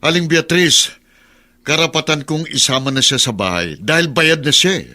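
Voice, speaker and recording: A Filipino actor playing a pushy, smug, menacing creditor in a radio drama. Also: male voice, on the high side, animated, normal speed, pushed and bright. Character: domineering, menacing creditor claiming a bride.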